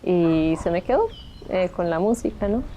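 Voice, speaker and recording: A woman's voice: on the low side, lively intonation, normal speed, highs cut off, over music. A woman in her thirties who grew up in Colombia, telling her life story candidly and reflectively in a documentary interview. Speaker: interviewee, migrant shelter founder.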